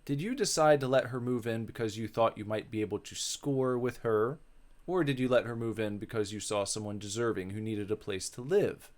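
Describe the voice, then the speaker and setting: A man voicing a blunt, sceptical woman interviewer in a visual novel, with measured delivery. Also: lowish voice, a little mellow, close to an everyday voice. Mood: probing. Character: dry, probing woman interviewer questioning a ghost.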